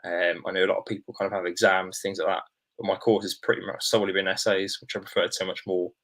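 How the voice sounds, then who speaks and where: Young male student, English accent, lowish flat voice, rapid casual panel talk. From Norwich, England. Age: young adult. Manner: hedging, frequent "kind of" and "sort of".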